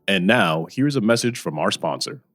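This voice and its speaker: A man's voice: low voice, expressive, normal speed. A man narrating an audio drama as a scripted storyteller. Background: music.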